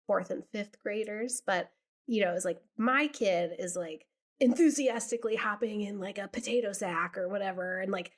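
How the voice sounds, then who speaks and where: Woman rambling casually, mid-pitched. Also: normal speed. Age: 40s. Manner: rambling, informal storytelling. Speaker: podcast host. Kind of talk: casual chat.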